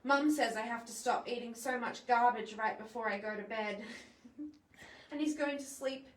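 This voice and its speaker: A woman reading a children's picture book aloud in a playful, expressive way, adding chatty asides for young listeners. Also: a little high, moderate pace. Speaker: library storytime presenter. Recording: echoey room, distant microphone.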